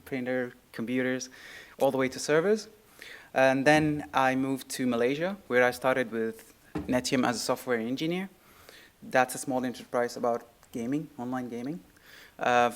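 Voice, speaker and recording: A man from Syria with a Syrian Arabic accent, giving an informal, light-hearted technical talk to an audience. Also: mid-range voice. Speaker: workshop co-presenter, software engineer.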